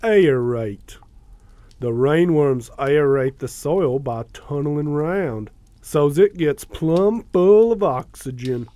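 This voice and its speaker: An actor playing a slow-witted, creepy young country nephew with a halting rural Southern US drawl in an audio drama. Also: male voice, fairly high pitch, animated, dark tone, no room sound. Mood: folksy, relishing.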